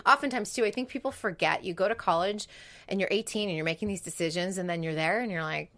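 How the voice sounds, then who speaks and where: A woman's voice: fairly low pitch, fast talker, pushed and bright. Chatty woman hosting a studio interview. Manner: chatty, encouraging, laughs often. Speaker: interview host.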